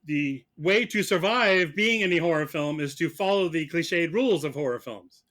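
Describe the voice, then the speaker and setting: A man playing prosecutor in a mock trial, arguing in a sarcastic, halting, conversational way. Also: fairly high pitch, a bit bright. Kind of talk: mock courtroom argument, conversational.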